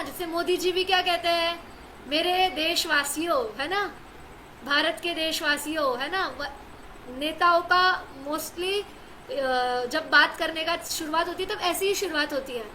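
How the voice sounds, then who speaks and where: A woman's voice: very high-pitched, pushed and bright, slight background noise, poor audio. A young woman teaching a scripture class, explaining each point with rhetorical questions she answers herself and frequent check-ins with her listeners. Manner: asks and answers her own questions, repeats "है ना". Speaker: religious teacher.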